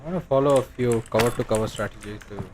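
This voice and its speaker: A man's voice: mid-range voice, fast talker, background noise. A man whose first language is Tamil, thinking aloud in casual commentary while he plays a shooter game on a livestream.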